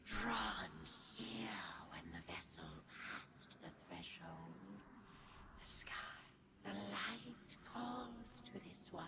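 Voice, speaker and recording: A woman voicing a solemn, devout alien wizard in a game, with a breathy, whispery voice and slow ceremonial speech. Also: mid-range voice, very animated, heavy background noise, exaggerated voice. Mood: solemn, cryptic. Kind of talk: video game voice acting.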